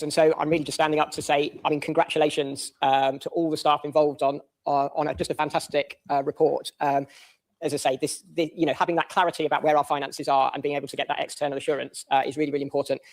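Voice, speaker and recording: A man giving a semi-formal, appreciative councillor's speech, halting and repeating words as he goes. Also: a little high, level tone. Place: council chamber.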